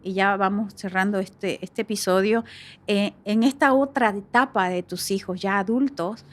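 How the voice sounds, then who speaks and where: A middle-aged woman hosting a faith-based conversational podcast, talking reflectively with many fillers. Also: mid-range voice. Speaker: podcast host.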